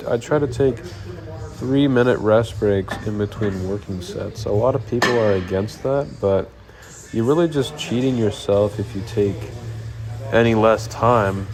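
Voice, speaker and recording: Stuffy-nosed young man, lowish voice. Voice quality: nasal. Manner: laid-back, rambling, many ums. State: stuffy nose from a cold. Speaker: fitness vlogger. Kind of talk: casual workout voice-over. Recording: voice-over over clear background music. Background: music playing underneath.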